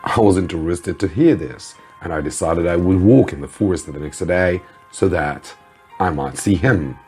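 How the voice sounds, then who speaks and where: A man who teaches English reads a story aloud as narration for learners, with careful, deliberate delivery. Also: low-pitched, dark tone, soft background music.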